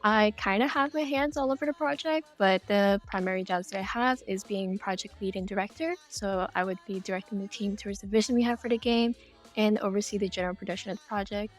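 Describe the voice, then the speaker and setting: Woman, interview guest, average pitch, explanatory talk, light music behind. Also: fast. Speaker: interview guest, game project lead.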